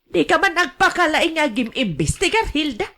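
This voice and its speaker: A high-voiced, brash older mother with a Filipino accent, scolding and wheedling in radio drama style as acted by a woman. She is a pushy gambler, always after money. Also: big pitch swings, moderate pace, pushed and bright, full character voice. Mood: irritated, scolding. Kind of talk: Ilocano radio drama acting.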